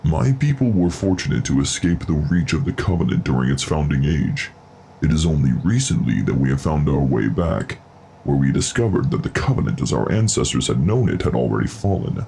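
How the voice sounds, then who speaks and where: Very deep, gravelly alien armorer. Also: male voice, animated, warm tone, a little hiss or hum, full character voice. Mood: solemn, reflective. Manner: formal, measured, contraction-free speech. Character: grave, courteous male alien artisan armorer of an isolated people. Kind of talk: scripted audio drama dialogue.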